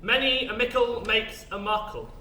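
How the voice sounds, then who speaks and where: A man's voice: high voice, moderate pace, bright, forceful tone. An actor playing a droll, officious male overseer, giving formal and pedantic announcements in a stage play. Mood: droll, brisk. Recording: roomy distant stage sound with noise.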